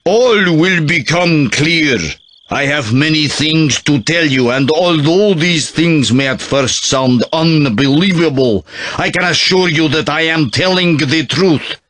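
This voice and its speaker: An actor playing a calm, secretive older male colonel who speaks in a measured, reassuring way. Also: fairly high pitch. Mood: solemn.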